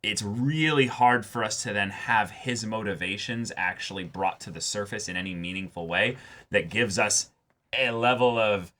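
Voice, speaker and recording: Bright-voiced man, fairly low, talking emphatically. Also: normal speed. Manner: emphatic, repeats phrases as he builds a point. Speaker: co-host of a reaction show. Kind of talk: casual TV episode discussion.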